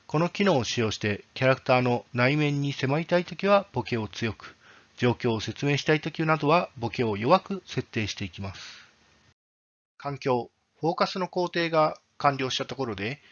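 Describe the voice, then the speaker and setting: Male presenter for a scripted technical walkthrough: formal, explanatory, average pitch, somewhat dull audio. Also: lively intonation, brisk, a bit bright. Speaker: presenter, game developer.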